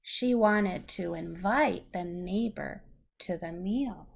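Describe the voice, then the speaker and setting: A woman's voice: mid-range voice, slow, gravelly, husky. A woman reading a story aloud to a young child, patiently explaining words in simple terms. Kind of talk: slow read-aloud storytelling with questions to a child.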